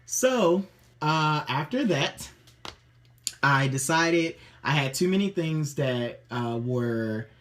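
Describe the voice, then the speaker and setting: A man telling the stories behind his tattoos in a casual, upbeat way, often pausing on ums and restarting his sentences. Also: on the high side, expressive, fairly bright tone. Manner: chatty and upbeat, many ums and self-restarts. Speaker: interviewee showing his tattoos. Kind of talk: casual personal account to camera.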